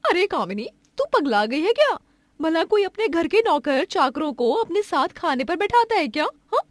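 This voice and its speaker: A woman's voice: very high voice, sing-song, moderate pace, dry recording, pitched above the performer's own voice. A woman voicing a snobbish, envious, scheming female houseguest in a cartoon story, with exaggerated gushing and sneering delivery. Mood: scornful. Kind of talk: Hindi cartoon moral story acting.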